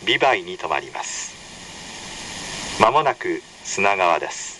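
A man reading a scripted, formal and measured station announcement as a recorded train announcer. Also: deep voice, very animated, pushed and bright, rough recording. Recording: recorded over train speakers with faint noise. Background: train cabin hum.

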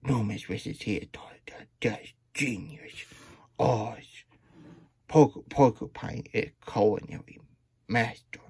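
A man voicing an excitable, childlike hedgehog plush who reads a picture book and adds chatty asides in broken, third-person grammar, husky and breathy. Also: on the low side, slow, no room sound, full character voice. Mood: amazed, delighted. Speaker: storytime host. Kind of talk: character read-aloud with chatty asides. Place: small room indoors at a table.

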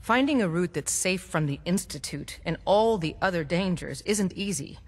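Brisk resistance leader. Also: female voice, on the low side, animated, in a large hall. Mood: briefing. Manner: businesslike, giving orders.

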